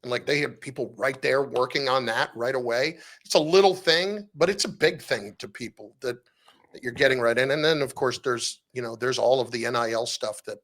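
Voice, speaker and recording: A man speaking as a guest in a casual sports podcast interview, telling stories in an animated, conversational way. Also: mid-range voice, gravelly. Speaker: interview guest, sports radio play-by-play announcer.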